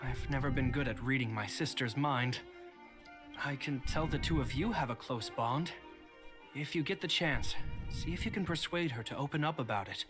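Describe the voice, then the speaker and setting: An actor voicing an earnest young man, a worried older brother, speaking in a measured, thoughtful way with frequent sighs. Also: medium pitch, music in the background, natural voice. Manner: measured, thoughtful, sighing often. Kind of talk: game voice acting.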